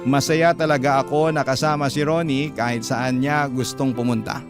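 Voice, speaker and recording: A man's voice: medium pitch, fairly even intonation, normal speed. A man narrating a first-person love story letter in a heartfelt way, now and then speaking straight to the listeners. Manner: heartfelt, addressing listeners directly. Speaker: radio drama host and narrator. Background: guitar music.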